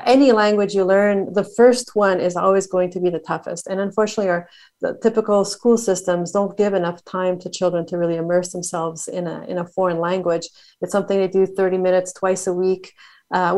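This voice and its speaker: A woman's voice: on the low side, darkish tone. Enthusiastic talkative woman from Montreal. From Canada (Montreal). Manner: enthusiastic, talkative, quick listing with fillers. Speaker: podcast guest, entrepreneur. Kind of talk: casual interview conversation.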